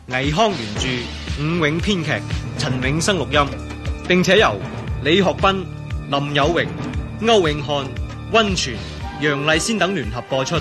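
A man announces a radio drama in a scripted style with a Hong Kong accent. His voice is on the higher side, and music plays clearly behind him. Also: expressive, a little slow. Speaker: programme announcer.

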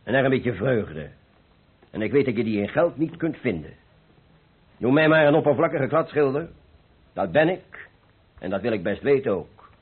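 A man's voice: mid-range voice, slightly rough. A man playing a jovial, plain-spoken painter who loves life, hearty and blunt, in a Dutch radio play. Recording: muffled old radio recording, faint music.

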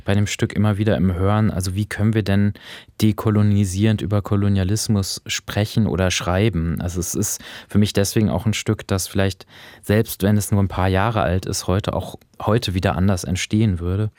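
A male podcast host with a low voice talks in a reflective, considered way about culture. The sound is very dry and close, and he feels his way through his phrasing. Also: fairly even intonation, fairly quick, a little mellow, no room sound. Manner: thoughtful, considered, searching phrasing.

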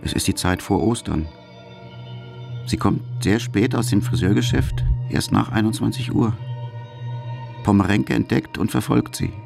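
A man's voice: on the low side, unhurried, creaky, pauses often, darkish tone. A man reading a scripted documentary narration in a terse, matter-of-fact manner. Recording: music under narration.

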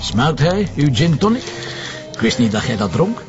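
An actor playing a dry, teasing middle-aged police commissioner with a Flemish accent in a TV crime drama. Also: male voice, on the high side, big pitch swings, normal speed, highs cut off, over music. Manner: dry, sardonic.

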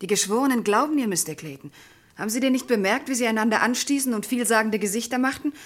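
Actress doing a worried earnest woman in an old radio crime play, pleading, slightly high voice. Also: fast talker, close to an everyday voice. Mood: anxious, pleading. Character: anxious, earnest, loyal woman defending an accused man. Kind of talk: classic German crime radio drama acting.

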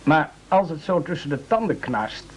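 An actor in a radio play playing an obedient, sentimental, rather dim sidekick, meek and earnest. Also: male voice, fairly high pitch, sing-song, normal speed, slight background noise. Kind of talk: absurdist radio play acting. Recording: muffled old radio play recording.

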